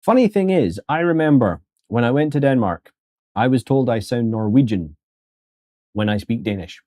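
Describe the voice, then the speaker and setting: A man's voice: medium pitch, lively intonation, moderate pace, warm tone, no echo, clean studio sound. A man teaching 3D sculpting on a live stream in a casual, joking way, talking to his viewers as folks.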